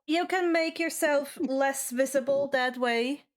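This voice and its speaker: Female game master, high register, unhurried delivery, narrating and refereeing a tabletop fantasy game.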